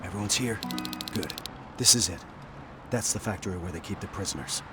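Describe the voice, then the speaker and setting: A man playing a confident, resolute resistance commander giving deliberate briefings in a video game cutscene. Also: low voice, music in the background, close to an everyday voice. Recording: clear noisy outdoor ambience.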